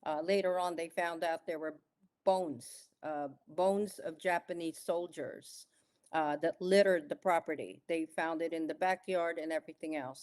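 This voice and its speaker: Middle-aged woman from the philippines telling her story on a podcast, lowish voice, lots of um and uh. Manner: measured, thoughtful, frequent "uh" and "um" pauses. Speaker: guest author.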